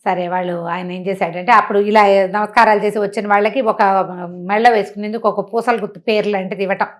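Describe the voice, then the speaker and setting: A woman telling a moral tale in a conversational, animated way, putting questions to the listener and acting out dialogue. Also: mid-range voice, quick pace. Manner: conversational, uses rhetorical questions and acts out dialogue. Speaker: storyteller and motivational speaker.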